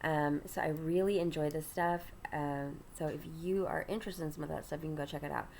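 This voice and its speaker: Congested woman host, lower voice, rambling get-ready-with-me chat while doing makeup, faint hiss behind. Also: steady tone, fairly quick, darkish tone. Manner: chatty, rambling, many ums and restarts. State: congested, stuffy nasal voice. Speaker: ministry host.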